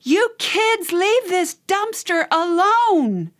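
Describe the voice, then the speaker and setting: A woman narrator plays an angry female restaurant worker in an audio story. Her voice jumps high and loud as she scolds. She is threatening and has no patience for intruders. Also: very animated, extremely slow, full character voice. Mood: angry shouting.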